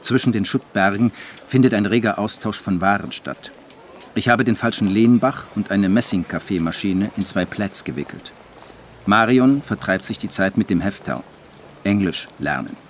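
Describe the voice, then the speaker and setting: A low-voiced man , played by an actor, narrates as a disillusioned writer in a radio drama. His delivery is measured and literary. There is some noise in the background. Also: animated, lightly acted. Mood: sombre.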